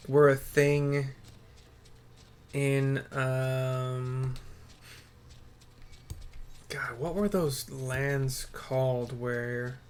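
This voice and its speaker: A man's voice: mid-range voice, fairly even intonation, extremely slow, pauses often. A man giving casual game commentary, rambling and muttering to himself as he plays. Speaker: gaming streamer. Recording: faint noise with keyboard typing.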